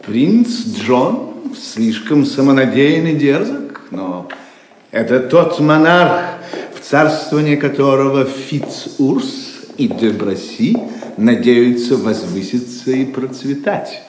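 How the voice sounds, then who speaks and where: An actor playing a bold, self-assured, scheming Norman knight in a Russian audio play, speaking in a measured way. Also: medium pitch, expressive. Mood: calculating. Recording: echoey room, faint noise.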